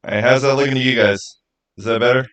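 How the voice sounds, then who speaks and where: A man's voice: medium pitch, fairly even intonation, fast, fairly bright tone, dull audio. A man hosting a livestream, chatting casually and informally straight to his viewers. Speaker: live stream host running a giveaway.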